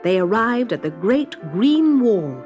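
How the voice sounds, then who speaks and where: Expressive woman storyteller, higher-pitched and very lively. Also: a little slow, lo-fi sound, over music. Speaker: storybook narrator. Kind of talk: scripted children's story narration.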